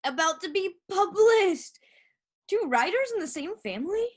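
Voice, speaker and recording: A woman playing an earnest, insecure young aspiring writer in a stage play, with a halting delivery. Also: very high voice, big pitch swings. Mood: excited. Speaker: stage actor. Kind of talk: theatrical one-act stage play acting.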